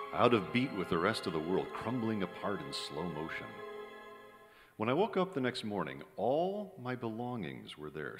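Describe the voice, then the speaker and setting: A middle-aged American man playing a cynical young screenwriter who narrates in a wry, old-time radio drama style. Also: fairly low pitch. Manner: wry, hard-boiled. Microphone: vintage-style stand microphone. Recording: reverberant theater hall with music.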